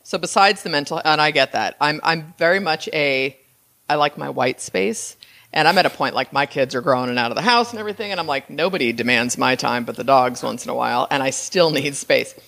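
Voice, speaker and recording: A woman in her fifties hosts a podcast interview with a low-pitched voice. She talks in an enthusiastic, chatty way, restarting her sentences as she goes. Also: fairly quick, vocal fry, fairly bright tone. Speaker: podcast host. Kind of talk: casual interview chat.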